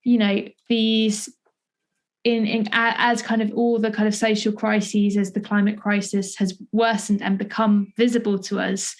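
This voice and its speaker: Young British female podcast interviewer, medium pitch, tentative and discursive, conversational delivery. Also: level tone, unhurried. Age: young adult. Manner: tentative, discursive, thinking aloud.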